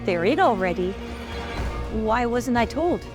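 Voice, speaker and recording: A woman's voice: on the high side, lively intonation, measured pace. A woman playing a stressed subordinate manager in an audio drama, protesting and questioning. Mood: uneasy. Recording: music under the voice.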